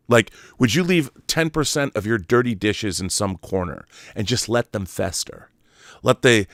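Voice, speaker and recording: A man's voice: medium pitch, no echo, clean studio sound. A middle-aged American man talking casually and opinionatedly, stammering and repeating words, often punching out emphatic rhetorical questions. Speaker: talk show host. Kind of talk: casual opinionated monologue about films and society.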